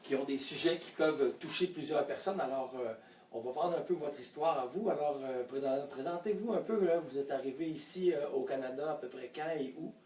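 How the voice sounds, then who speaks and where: A mellow-voiced man, recorded at a distance in an echoey room with faint noise. He interviews informally and hesitantly, often rewording things as he goes. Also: fairly high pitch, brisk.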